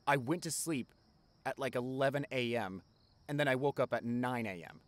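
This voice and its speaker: A man's voice: mid-range voice, normal speed, no room sound, close to an everyday voice. Young, chatty best-friend guy played by a man. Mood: upbeat, joking. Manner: casual, slangy, addresses listener directly. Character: caring, chatty male college best friend, playful then tender. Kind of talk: ASMR roleplay monologue to the listener.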